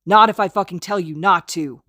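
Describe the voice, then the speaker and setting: A woman's voice: sits low, fairly even intonation, fast talker, no room sound, clean studio sound. A woman voicing a snarling teenage bully boy. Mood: furious. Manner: snarling, cursing, shouting. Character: furious teenage boy, domineering hot-headed bully.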